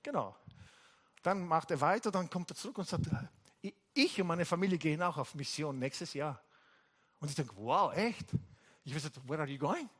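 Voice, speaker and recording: An Italian-accented man preaching at a church service, his voice sitting a bit higher than most men's. He moves between calm teaching and animated storytelling, and his pitch shoots up when he acts out other people's lines. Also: normal speed. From Italy. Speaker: pastor. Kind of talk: sermon with anecdote.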